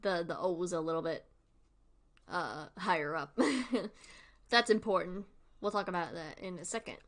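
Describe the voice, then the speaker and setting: A woman's voice: fairly low pitch, moderate pace, fairly bright tone. A woman hosting a livestream with casual, informal commentary, rambling and thinking aloud as she goes. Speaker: livestream host.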